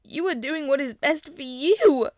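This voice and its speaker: Woman doing a young prince yelling and begging, high and strained, dry sound. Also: normal speed, clear, resonant tone, no room sound, lightly acted. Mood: angry. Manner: shouting, pleading, tearful. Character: desperate young prince, defiant and pleading.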